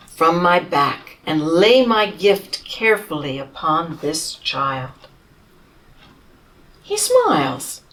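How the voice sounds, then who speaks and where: A woman reading a children's storybook in the voice of a humble, tired male camel who tells his own tale slowly and tenderly. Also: on the low side, distant mic, rough recording. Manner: slow, tender storybook telling with lively rises.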